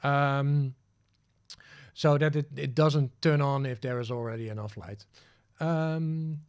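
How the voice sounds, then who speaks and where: A Dutch man hosts a relaxed livestream tutorial, explaining in English as he works through his screen. He thinks aloud with plenty of fillers and self-corrections, and his voice sits at an ordinary male pitch. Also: slow pace, smooth. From Netherlands. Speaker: livestream host. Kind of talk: casual screen-share tutorial.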